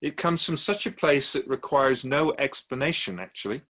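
Male actor as ancient spirit guide, gently didactic, measured phrasing, expressive pitch swings, philosophical radio drama. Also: narrow, tinny audio, lightly acted. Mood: reflective. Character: otherworldly spirit keeper of souls, calm, wise, gently instructive. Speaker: interviewed guest in a radio drama.

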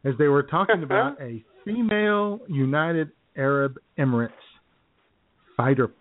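A man's voice: fairly high pitch, expressive, normal speed. Man, podcast co-host, casual sarcastic chat, frequent laughs, narrow phone-like sound. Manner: laughs often, joking and sarcastic.

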